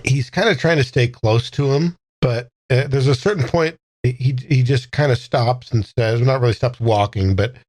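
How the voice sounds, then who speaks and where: A man playing a tabletop game, haltingly improvising what his character does in the third person. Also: medium pitch, a little mellow, no echo. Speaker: player in a tabletop game podcast.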